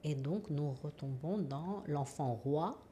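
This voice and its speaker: A woman hosts a podcast interview, speaking slowly and carefully with many pauses and fillers. Her voice is very low for a woman. A faint noise sits behind her. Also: mellow. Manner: measured, hesitant with frequent fillers. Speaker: podcast host. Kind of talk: interview hosting.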